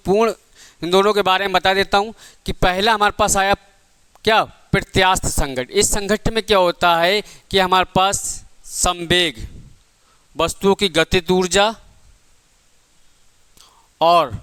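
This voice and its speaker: A man's voice: high voice, a bit bright, clear, resonant tone, dry recording. A man teaching an exam-prep physics lesson, asking the class questions and answering them himself, calling his listeners "beta" and "bhai".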